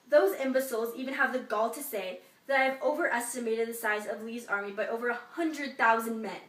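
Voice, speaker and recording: A distant, echoing recording of a proud Civil War general performed by a woman in a fairly high voice. The delivery is formal, pompous oratory, full of boastful bitterness over being dismissed, like a stage soliloquy. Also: a bit bright. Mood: bitter, indignant. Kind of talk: memorized history class soliloquy. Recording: echoey room, distant microphone.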